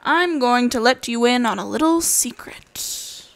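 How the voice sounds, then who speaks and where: A woman's voice: on the high side, expressive, unhurried. A woman voicing a big, hungry, sly wolf in a children's storybook, speaking in an emphatic, knowing, matter-of-fact way. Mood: sly, inquiring. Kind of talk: animated children's storybook read-aloud.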